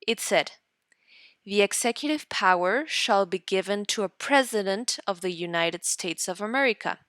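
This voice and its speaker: A woman teacher reading aloud for students in an instructional way, deliberately and in short guiding phrases. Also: medium pitch, expressive, fairly bright tone, no echo, clean studio sound. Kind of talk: instructional read-aloud lesson narration.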